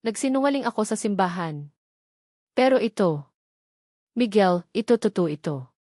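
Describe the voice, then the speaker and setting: A woman's voice: mid-range voice, animated, normal speed, dark tone, no room sound, clean studio sound, close to an everyday voice. A woman with a Filipino accent voicing a frightened young woman with a breaking voice in an audiobook drama. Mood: anguished confession. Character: frightened young woman confessing to her lover.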